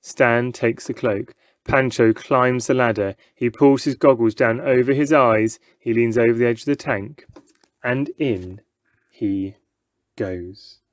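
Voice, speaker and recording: A man narrating a children's novel in an expressive storybook style. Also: mid-range voice, slow, no room sound.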